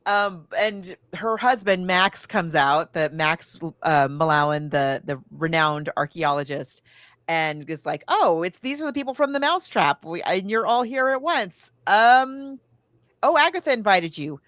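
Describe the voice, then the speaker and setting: A woman's voice: fairly low pitch, lively intonation, a little slow, fairly bright tone, no echo. A woman podcast co-host casually recapping a film, thinking aloud and backtracking as she goes, slipping into casual quoted asides. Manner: acts out characters' lines in casual asides.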